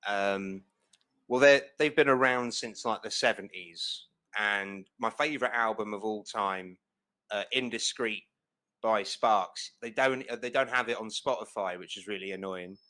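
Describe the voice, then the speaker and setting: A man's voice: fairly low pitch, unhurried, sharp tone. A young man hosting a casual film podcast, talking in an enthusiastic, rambling way with lots of fillers and restarts. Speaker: podcast host. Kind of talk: casual chat.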